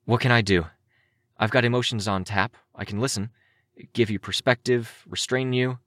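A composed young heroine from a story, read by a man. She speaks in a raised pitch, firm and probing, practical and protective, in dry close sound. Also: fairly even intonation, moderate pace, fairly bright tone, no room sound, natural voice. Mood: calm, supportive.

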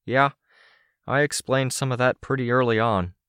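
A narrator voicing a guarded, resigned teenage boy hero with a quiet, hesitant manner. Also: mid-range voice, steady tone, moderate pace, smooth, no echo, clean studio sound, voice pushed up, close to an everyday voice. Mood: defensive, resigned.

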